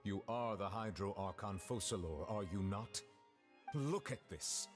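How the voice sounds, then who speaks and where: Actor as stern male judge, video game drama, low pitch, measured, formal, grave, soft music underneath. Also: lightly acted. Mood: stern, pressing. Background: orchestral game music.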